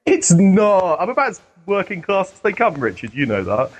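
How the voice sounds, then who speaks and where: A man from Denmark with a husky voice, chatting casually as a self-deprecating interview guest. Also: high voice, very animated, normal speed, a crowd in the background. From Denmark, has lived in the UK most of his life. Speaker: guest, paranormal researcher and theologian.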